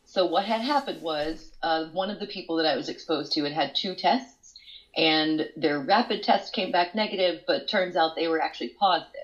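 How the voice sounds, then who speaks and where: A woman in her forties talks from home over a video call, her lower voice a little congested from a cold. She rambles into chatty stories and laughs as she goes. The call audio sounds muffled and lo-fi. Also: normal speed. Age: 40s. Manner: chatty, storytelling, laughs often. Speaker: co-host. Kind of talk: casual chat. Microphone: smart display video call.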